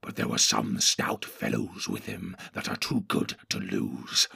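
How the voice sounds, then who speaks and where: Sinister orc captain, very low and gravelly, husky whisper, drawn-out hiss. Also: male voice, pushed and bright, no room sound, exaggerated voice. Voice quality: hoarse and whispery. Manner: drawn-out, hissing and brooding delivery. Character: wheezing, sinister male orc captain, sly and menacing. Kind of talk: audiobook reading.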